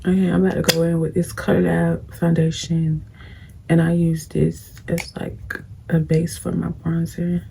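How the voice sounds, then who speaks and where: Low gravelly woman giving blunt advice. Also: flat delivery, unhurried, mellow. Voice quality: scratchy. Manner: blunt, preachy, talks straight to viewers. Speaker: vlogger. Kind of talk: casual get-ready-with-me advice chat.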